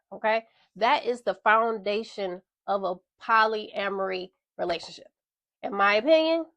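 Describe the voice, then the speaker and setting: A woman's voice: medium pitch, no echo. A congested woman with a slightly stuffy voice, hosting a frank storytime podcast and addressing listeners directly. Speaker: podcast host. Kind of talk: storytime monologue.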